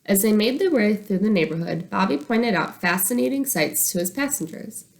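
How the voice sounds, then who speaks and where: A woman reading a children's chapter book aloud as an expressive narrator. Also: medium pitch, normal speed, gravelly. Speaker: storybook reader.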